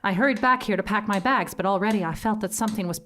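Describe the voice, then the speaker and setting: A woman's voice: mid-range voice, fast, fairly bright tone, close to an everyday voice. Faint noise sits behind a mid-range female voice. She is an actress playing an earnest academic investigator in a live gothic drama, narrating with melodramatic, curious storytelling. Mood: haunted narration. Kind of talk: scripted first-person narration in live stage drama. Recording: live stage recording with background noise.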